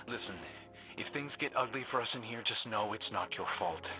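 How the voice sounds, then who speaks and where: An actor playing an earnest, daring young hunter in a video game cutscene, understated and a little wry. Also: male voice, mid-range voice, normal speed, close to an everyday voice. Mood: resigned, tender. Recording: music behind the voice.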